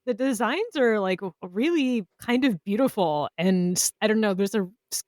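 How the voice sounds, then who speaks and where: Medium-pitched woman in loose, casual chat. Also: a little mellow, dry recording. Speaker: podcast co-host.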